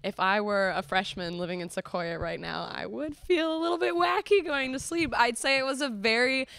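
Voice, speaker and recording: Young woman, host, clear tone, dry sound, casual commentary, chatty, enthusiastic. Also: on the high side, animated, moderate pace, a bit bright, no room sound. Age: young adult. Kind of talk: casual live commentary between radio plays.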